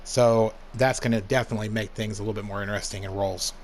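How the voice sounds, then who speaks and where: A young man with a hoarse voice from allergies, casually talking through a game review as the channel's host. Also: lowish voice, steady tone, fast, a little hiss or hum. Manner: laid-back, plain-spoken, rambling asides. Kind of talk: casual gameplay commentary.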